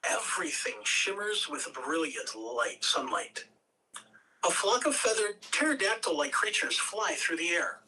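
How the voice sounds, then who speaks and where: Table-read narrator: bright forceful voice, unhurried delivery, theatrical, picked up at a distance. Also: medium pitch, big pitch swings. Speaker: script reader at a table read. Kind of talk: expressive amateur reading of screenplay directions. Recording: distant home mic, background noise.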